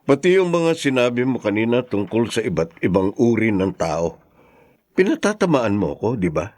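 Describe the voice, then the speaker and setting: A man's voice: lowish voice, very animated, moderate pace, darkish tone. An actor playing a middle-aged husband and father who turns curt and defensive when pushed. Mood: irritated. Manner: teasing, then curt and defensive. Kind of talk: TV drama acting.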